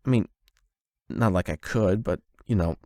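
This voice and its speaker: A young man playing a nervous, lovestruck online friend in an intimate ASMR roleplay, speaking haltingly and awkwardly with self-doubt. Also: deep voice, darkish tone, no echo, studio quality. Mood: nervous, rambling.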